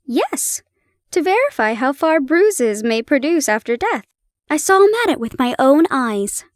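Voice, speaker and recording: A woman's voice: sits high, very animated, measured pace, no room sound, clean studio sound. A woman reading the part of a young male acquaintance in a matter-of-fact manner.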